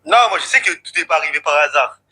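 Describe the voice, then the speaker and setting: A man's voice: on the high side, fast talker, sharp tone, husky. A young man from France calling in, answering hesitantly with short agreeing replies over a distant phone line. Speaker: caller.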